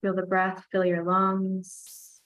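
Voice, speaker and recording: A woman leads a breathing exercise in an even, level voice. She gives each calm instruction at an unhurried pace, with little rise or fall in pitch. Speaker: guided breathing leader. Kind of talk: guided relaxation.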